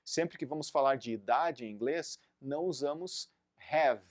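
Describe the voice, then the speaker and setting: A man in his thirties, a native Portuguese speaker, teaching an English lesson and drilling phrases slowly for learners to repeat. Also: a little high, dry recording, clean studio sound. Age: 30s. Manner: slow, deliberate drilling of phrases for repetition. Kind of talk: recorded online language lesson.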